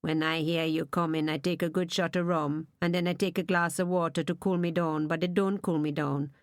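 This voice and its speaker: A woman's voice: low-pitched, steady tone, fairly quick, smooth, dry recording, clean studio sound, natural voice. A woman doing a bitter man. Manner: brooding, scornful, preacher-like. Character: bitter resentful middle-aged mixed-race man. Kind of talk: audiobook reading.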